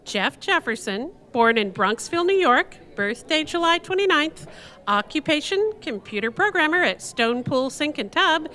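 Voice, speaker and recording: An actress playing a sweet, forgetful, mildly skeptical young wife in a live radio play, with a questioning manner. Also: female voice, high voice, very animated, normal speed, pushed and bright, heavily acted.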